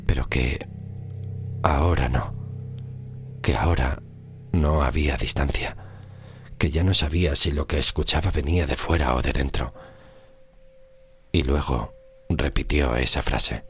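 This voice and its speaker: Male horror storyteller, extremely low voice, gravelly fry, deliberate hushed phrasing, scripted first-person tale, music underneath. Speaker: horror podcast narrator.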